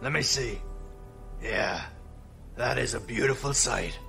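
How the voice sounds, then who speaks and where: A man playing a gruff, wry veteran crew boss in a space audio drama, with a gravelly, folksy way of talking. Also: mid-range voice, slow pace, bright, forceful tone, faint music behind, full character voice. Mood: admiring, reflective.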